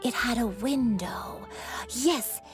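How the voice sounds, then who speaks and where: A woman voicing a serene, forgetful old grandmother in a video game, speaking in a halting, reminiscing way. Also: a little high, over music. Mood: wistful.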